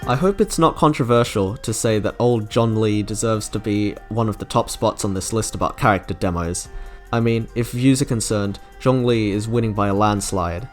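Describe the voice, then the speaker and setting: A man's voice: fairly low pitch, moderate pace. Young man narrating over music. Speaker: video game reviewer. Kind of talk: scripted review commentary. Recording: background music under narration.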